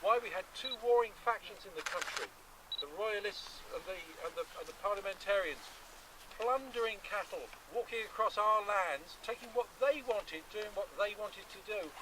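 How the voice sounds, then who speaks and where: A man's voice: sits high, normal speed. A man giving an earnest, emphatic impromptu introduction at an outdoor event, repeating phrases for effect. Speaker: event speaker introducing a reenactment. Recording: noisy outdoor recording from far off.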